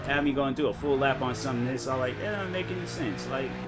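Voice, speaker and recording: A man's voice: medium pitch, quick pace. A young man chatting casually while streaming a racing game, rambling with many fillers and restarts. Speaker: gaming streamer. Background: game music and car engine sounds.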